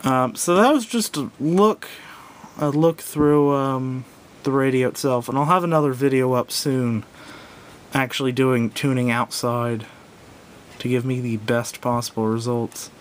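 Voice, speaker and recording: Hesitant man reviewing gadgets, noisy recording. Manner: hesitant, halting, self-doubting. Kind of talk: casual unscripted product review. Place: indoors at a tabletop. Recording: clear background noise with low rumble.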